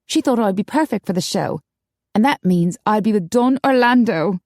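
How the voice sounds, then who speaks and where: Woman voicing an excitable, wide-eyed young woman, pitched up. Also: moderate pace, dry recording, clean studio sound. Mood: dreamy. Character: excitable, dreamy, devout young woman, easily shocked.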